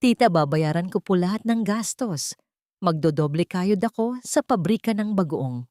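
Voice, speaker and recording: A woman storyteller voicing a gentle, polite young woman who pleads in a dramatic story. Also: on the low side, lively intonation, normal speed, dry recording, clean studio sound, lightly acted. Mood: tearful pleading.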